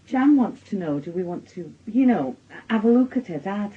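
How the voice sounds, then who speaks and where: An actress playing an anxious, kindly working-class woman with a husky voice and a Northern English accent in a naturalistic radio drama. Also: medium pitch, animated, moderate pace, phone-quality sound. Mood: subdued, concerned. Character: concerned, pleading working-class female relative, anxious and kindly.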